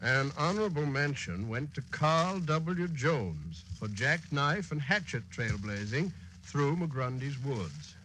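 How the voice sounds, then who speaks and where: An actor playing a pompous, florid reader of philosophy and newspaper items in a 1940s radio drama. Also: male voice, medium pitch, very animated, slow pace, sharp tone, heavily acted. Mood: florid, proclaiming. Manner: florid, declamatory reading. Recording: noisy old 1940s radio recording.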